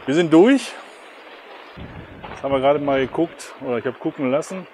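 A man's voice: a little high, moderate pace, darkish tone, rough recording. A man in his fifties chatting casually to camera outdoors in short, jokey, colloquial remarks, recorded at arm's length. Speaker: travel vlogger. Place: outdoors in gardens and town streets. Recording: outdoor ambient noise.

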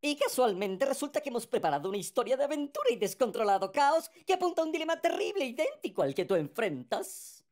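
A man's voice: very high voice, sing-song, fast talker, few pauses, darkish tone. A man voicing a loyal, fussy cartoon asparagus butler in Latin American Spanish, proper, wordy and precise. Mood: formal, explanatory. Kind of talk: dubbed cartoon acting.

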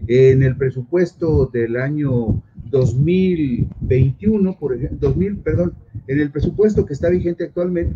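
Mexican man on a lo-fi video call. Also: a little high. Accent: Mexican Spanish. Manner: measured, emphatic, explanatory. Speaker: journalist guest. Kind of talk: political commentary. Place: home study with bookshelves. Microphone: video call webcam. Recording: dull lo-fi video call with clear noise.

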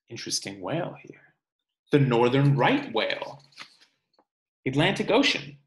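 Slow-paced man reading aloud. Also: medium pitch. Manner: deliberate, pausing, musing asides. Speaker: read-aloud reader. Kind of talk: reading a children's nonfiction picture book aloud, with asides. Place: small indoor room at home.

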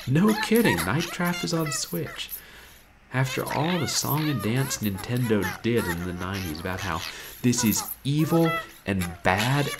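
A man in his thirties, a game streamer chatting casually at home in a wry, rambling, animated way. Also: mid-range voice, background noise. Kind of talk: casual livestream chat. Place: small room at home, indoors.

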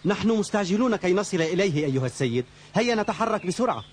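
Impatient young kung fu student, cartoon dub; very high, fast, noisy old TV sound. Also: male voice, expressive. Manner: impatient, earnest.

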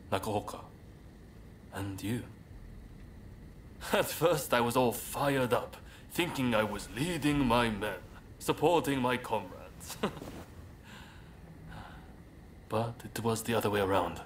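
A man playing an earnest, soft-hearted samurai leader in a video game, speaking in measured, polite, formal phrases. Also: on the low side, a little hiss or hum, natural voice. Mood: humble, reflective. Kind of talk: video game cutscene acting. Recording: game audio with clear background ambience.

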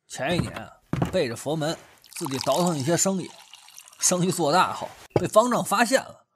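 An actor playing a brash, boastful, foul-mouthed burly male ex-monk in an audio drama, loud and swaggering. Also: fairly high pitch, lively intonation, normal speed, background noise, natural voice. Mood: scheming. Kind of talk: amateur audio drama acting.

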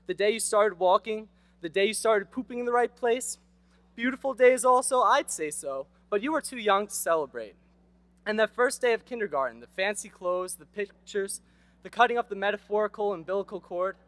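A teenage boy delivers a graduation speech into a podium microphone on an outdoor stage. His voice sits high and the sound is dry and close. He is earnest and rhetorical, with wry asides. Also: a bit bright, no echo. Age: teens. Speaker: graduation speaker. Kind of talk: prepared commencement speech.

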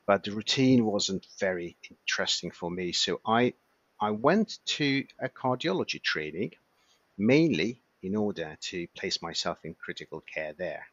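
A man's voice: lowish voice, expressive, unhurried, a bit bright, breathy edge, dry recording, lo-fi sound. A Hungarian-accented man in his fifties, an emergency physician, chatting in an interview with many fillers and restarts. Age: 50s. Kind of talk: casual interview chat.

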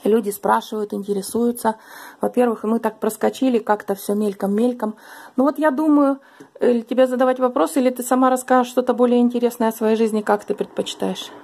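A middle-aged woman hosts a chatty, affectionate interview in a small living room. Her voice sits a little high and has a noticeable gravelly fry to it, and she speaks to a camera set across the table. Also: fairly even intonation, a little fast, warm tone. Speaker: vlogger host. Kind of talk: casual interview.

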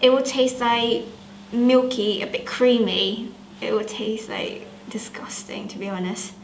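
Woman, higher pitch, chatty presenter, echoey room, faint noise. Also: unhurried. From Thailand. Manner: chatty, hesitant with many fillers. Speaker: student presenter. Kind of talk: casual presenting to camera.

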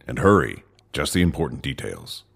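Man as a very deep gravelly commander. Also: very animated, moderate pace, a bit bright, heavily acted. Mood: urgent, commanding. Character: stern, worried commander in charge of security team. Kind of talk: audio drama acting.

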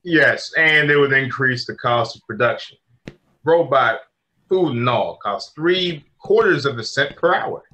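A man acting a brisk, cost-minded business manager in an old-time radio drama. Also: mid-range voice, expressive, pushed and bright. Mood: assured.

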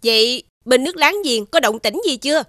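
An actress playing a proud, ambitious warrior princess in an animated fairy tale, imperious and measured. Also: female voice, sits high, no echo, clean studio sound. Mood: imperious, calculating.

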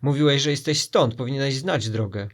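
A man's voice: medium pitch, fairly quick, close to an everyday voice. A man voicing menacing, suspicious strangers in a storybook, barking accusations and orders.

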